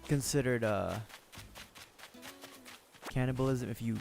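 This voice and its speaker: Hoarse gravelly male streamer. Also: mid-range voice, a little slow, darkish tone. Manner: rambling, joking, laughs often. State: hoarse, sore throat. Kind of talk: casual gameplay commentary. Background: game music.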